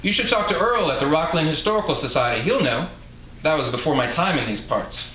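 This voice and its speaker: A man's voice: fairly high pitch, lively intonation, fairly quick, pushed and bright. Man reading as chatty old woman bartender, echoey. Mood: chatty, friendly. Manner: folksy, chatty. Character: chatty, blunt elderly woman bartender, retired. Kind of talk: novel reading aloud. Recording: echoey room, faint background noise.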